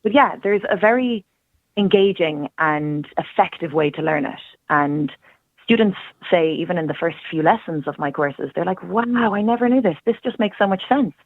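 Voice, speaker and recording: A woman's voice: lowish voice, animated, normal speed, no room sound. Eager Irish woman on a phone line. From Ireland. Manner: earnest and enthusiastic, talks in long flowing runs. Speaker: guest, Irish language teacher. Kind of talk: casual radio interview.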